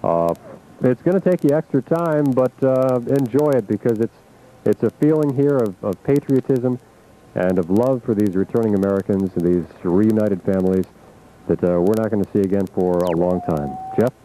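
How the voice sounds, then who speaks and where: Low, very muffled male radio newsman. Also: moderate pace, mellow. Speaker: radio news reporter. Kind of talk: live unscripted event coverage. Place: outdoors at an airport. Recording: muffled, noisy 1980s radio aircheck.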